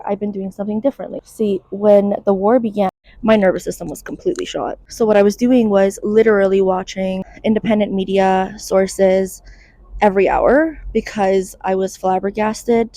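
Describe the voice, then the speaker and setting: A young woman of Iranian background talks straight to her viewers in a chatty, wry, candid way, sharing mental health tips. Her voice is mellow and darker, with a fairly flat, even delivery. It is recorded close and dry, with no room sound. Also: medium pitch, no echo. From Iranian diaspora. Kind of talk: casual advice to camera.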